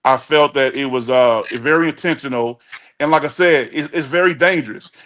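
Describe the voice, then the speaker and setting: A man's voice: mid-range voice, moderate pace, a bit bright. Blunt, emphatic man in his forties. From Chicago, USA. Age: 40s. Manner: blunt and emphatic, stumbles and restarts. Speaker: guest caller on a talk show. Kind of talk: casual opinion chat.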